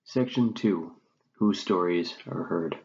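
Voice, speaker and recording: Low-voiced man reading a reflective script. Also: takes it slowly, a little mellow. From English-speaking Canada. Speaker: narrator of an educational recording. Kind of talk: scripted narration. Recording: dull lo-fi recording.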